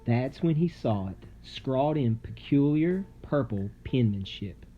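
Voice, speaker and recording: Slow dramatic male storybook narrator. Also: mid-range voice, lively intonation, highs cut off. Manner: theatrical, suspenseful, deliberate pauses. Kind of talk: dramatic scripted children's story read-aloud. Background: music.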